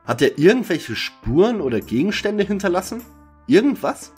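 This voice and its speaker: A man voices a bookish young detective in a parody audio play. The voice is slightly high and husky, with lively movement in its intonation. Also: moderate pace, faint music behind, exaggerated voice. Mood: earnest. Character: young male detective, bookish junior of the trio.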